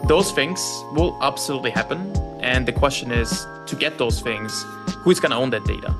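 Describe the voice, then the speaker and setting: A man's voice: mid-range voice, expressive, moderate pace, over music. A young man from Austria, a podcast guest on a video call, talking fluently with many fillers and tag questions. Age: young adult. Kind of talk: casual interview talk. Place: indoor room with plant, video call.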